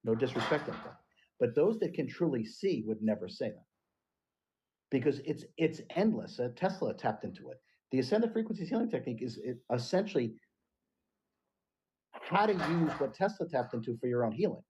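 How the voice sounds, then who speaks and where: A man's voice: medium pitch, big pitch swings, normal speed, darkish tone, muffled recording, slight background noise. A man hosting a podcast, giving an earnest and emphatic spiritual teaching talk. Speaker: podcast host.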